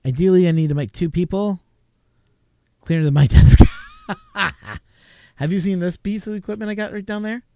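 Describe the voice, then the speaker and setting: A man's voice: high-pitched, fairly even intonation, moderate pace, pauses often, mellow, clean tone, no echo. A man from Canada, hosting a late-night live stream from his home desk, chatting casually and rambling with chuckles. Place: home desk crowded with gear. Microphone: desk mic with a mixer.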